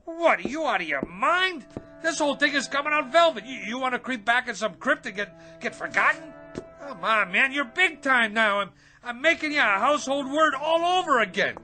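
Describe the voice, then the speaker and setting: Pushy bragging hack writer for radio comedy, swings all over, high pitched, slangy and stammery. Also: male voice, expressive, moderate pace, pushed and bright, soft background music, heavily acted. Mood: pleading hard sell. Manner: slangy, boastful, stammering wheedler. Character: brash, fast-talking, self-important hack writer and hustler. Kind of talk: comedic radio drama acting.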